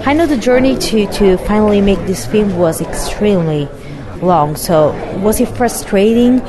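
A woman interviewer asking measured, prepared questions about a film. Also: mid-range voice, expressive. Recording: crowd noise behind.

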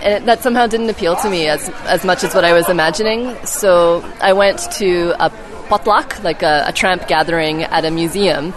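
A young woman from Canada speaks casually in a slightly low voice, full of fillers and self-corrections. She is recorded outdoors in a city, with clear crowd chatter behind her. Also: normal speed. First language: Czech heritage, English first. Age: young adult. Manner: chatty, frequent "like" and restarts, laughs easily. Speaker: film's lead and graduate student guest. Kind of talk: casual interview chat.

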